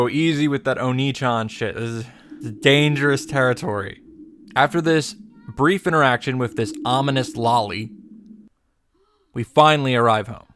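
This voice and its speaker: A man's voice: medium pitch, a bit bright. Wry man recapping over prominent music. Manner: wry, playful asides. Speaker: visual novel reaction and summary host. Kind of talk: casual joking recap commentary. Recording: music under the commentary. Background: game music.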